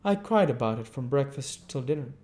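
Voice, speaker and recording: Storybook matron, older, self-righteous and plaintive, male reader, raised pitch, abrupt emphatic delivery. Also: lively intonation, fairly quick, a little mellow, close to an everyday voice. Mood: self-righteous indignation. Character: self-pitying, indignant older society woman, abrupt.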